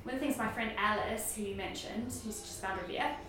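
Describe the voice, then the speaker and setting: A woman's voice: mid-range voice, quick pace, background noise. A woman with a New Zealand accent, a company founder chatting easygoingly as an interview guest and laughing easily. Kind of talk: casual interview. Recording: echoey room, voice far from mic.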